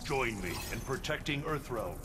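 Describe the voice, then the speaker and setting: A man's voice: medium pitch, lively intonation, normal speed, close to an everyday voice. Man as a stern, solemn thunder god. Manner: grave, imperious, formal. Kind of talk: fighting game dialogue. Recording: loud game music and effects behind.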